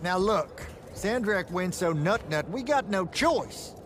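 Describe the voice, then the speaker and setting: A wry old battlemage veteran in a fantasy game. He talks colloquially and gruffly, like an uncle, in a voice a little high for a man, with noticeable ambience behind him. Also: animated, takes it slowly, slight background noise. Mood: exasperated, urgent. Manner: colloquial, dry, gruffly avuncular. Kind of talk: video game acting.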